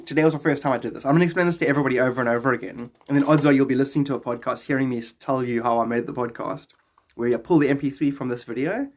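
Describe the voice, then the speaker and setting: A man in his thirties with a South African accent, chatting casually and playfully in slang as he hosts a live stream, close to a phone in a small room. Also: medium pitch. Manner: playful, slangy, greeting viewers in drawn-out exclamations. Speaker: live streamer and podcast host.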